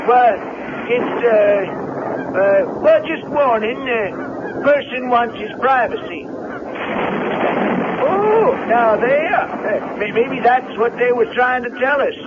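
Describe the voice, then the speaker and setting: Folksy old New island caretaker, deferential but evasive, slow halting drawl; muffled, noisy old radio drama. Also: male voice, extremely high pitch, lively intonation, heavily acted. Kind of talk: vintage radio drama acting.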